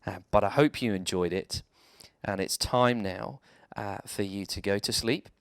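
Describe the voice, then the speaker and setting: A man, a reverend, telling a children's bedtime story in a measured, dramatic way with deliberate pauses. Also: fairly low pitch, slight background noise.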